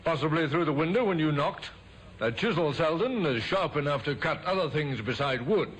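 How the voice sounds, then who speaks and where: An actor playing a shrewd, brisk male police inspector in an old radio drama, crisp and dryly ironic. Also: a little high, animated, fairly bright tone, low-quality recording. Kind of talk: 1950s radio drama acting. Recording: old radio broadcast with faint hiss.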